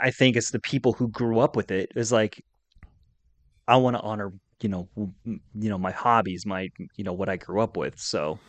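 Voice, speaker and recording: A man's voice: lowish voice, no echo. A man, an informal and opinionated podcast co-host in casual chat. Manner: informal, opinionated fan talk. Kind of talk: casual geek-culture chat.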